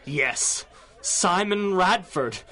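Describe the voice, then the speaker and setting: A high, manic radio supervillain. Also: male voice, expressive, very slow, pushed and bright, exaggerated voice. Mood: curt, defiant. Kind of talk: 1930s radio serial acting.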